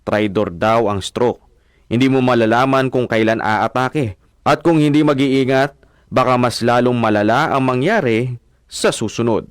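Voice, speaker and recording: A man's voice: mid-range voice, unhurried, lightly acted. A man acting a sober son who narrates his story in a scripted radio drama. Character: Paul as narrator, sober son recounting events.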